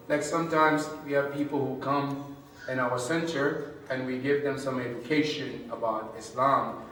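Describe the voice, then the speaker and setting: A man answering as a religious scholar on a panel, in deliberate short phrases with pauses. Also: medium pitch, fairly bright tone. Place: large room at a public panel event. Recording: very echoey hall, distant mic, faint noise.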